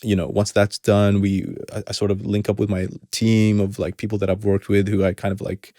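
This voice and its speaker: A man's voice: lowish voice, monotone, a little fast, mellow, no echo, studio quality. A young man with a hybrid accent mixing Arabic, New Zealand and American sounds, talking casually and rambling in an interview with many restarts. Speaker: hip hop artist, guest.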